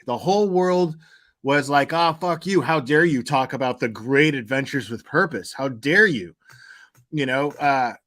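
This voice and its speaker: A man's voice: a little high, a bit bright. A man hosting a live reaction stream with casual commentary, speaking bluntly and informally with some swearing.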